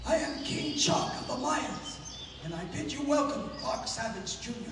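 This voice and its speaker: A man's voice: very high-pitched, expressive, normal speed, gravelly, music in the background, exaggerated voice. A man playing a dignified, grave Mayan king who speaks formally, in a 1930s-style radio play. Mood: solemn welcome. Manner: formal, stately. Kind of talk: 1930s radio adventure acting. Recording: noisy, very echoey hall, distant mic.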